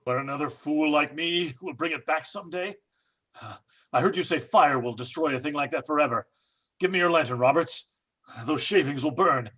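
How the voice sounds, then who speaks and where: A man playing a wounded, wry, self-sacrificing father, husky and gasping, in old time radio horror style. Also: a little high, moderate pace, fairly bright tone. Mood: grim urgency. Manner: gasping, strained, dramatic.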